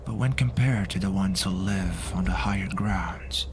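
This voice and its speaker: A man playing a cold, reserved disciple in a fantasy audio drama, speaking deliberately and formally in a hushed, breathy voice. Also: sits low, background noise. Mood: subdued, humble. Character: Mido, menacing, humble, cold male disciple.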